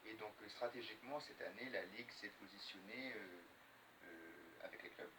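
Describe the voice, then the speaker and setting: A man's voice: lowish voice, moderate pace, far from the mic. A man answering interview questions as a sports official, hesitating and repeating words. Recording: noisy, off-mic radio interview.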